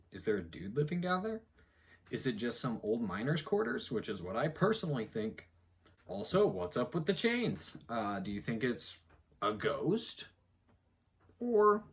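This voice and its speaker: A man's voice: very animated, a little slow, long pauses, slightly rough. A man giving a theatrical, mock-dramatic reading of an article, breaking off for muttered sarcastic asides. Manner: theatrical, swings between booming and muttered.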